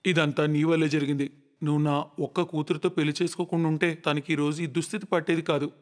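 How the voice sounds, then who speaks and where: An actor voicing stern, dramatic men in a cartoon moral tale, theatrical and emphatic. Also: male voice, fairly high pitch, level tone, quick pace, lightly acted. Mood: accusing, anguished. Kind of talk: dramatic cartoon story dialogue. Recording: long reverberant echo.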